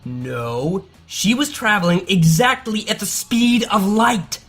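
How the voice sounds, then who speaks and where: A performer voicing an excitable, nerdy pony scientist, explaining precisely in a scripted educational dialogue. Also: mid-range voice, slow pace, husky, heavily acted. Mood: excited. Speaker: science explainer in an animated analysis show. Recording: music behind the voice.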